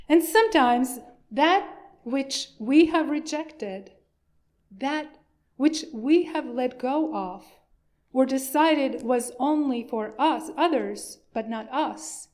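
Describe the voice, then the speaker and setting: Woman, high voice, unhurried and deliberate, leading worship at a church service. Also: a little mellow. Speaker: worship leader.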